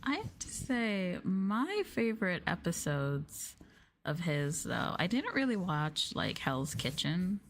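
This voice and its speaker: A woman livestreamer talking softly and casually in an ASMR style, close to the microphone. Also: on the low side, very animated, takes it slowly, a little hiss or hum. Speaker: livestreamer, virtual streamer host. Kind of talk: soft-spoken ASMR livestream chat.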